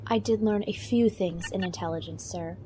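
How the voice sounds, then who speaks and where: A woman's voice: medium pitch, normal speed, dark tone, a little hiss or hum, lightly acted. Woman playing a blunt starship security chief. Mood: deadpan. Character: female starship security chief, blunt, defensive intelligence officer. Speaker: voice actor in fan audio drama. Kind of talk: science-fiction audio drama acting.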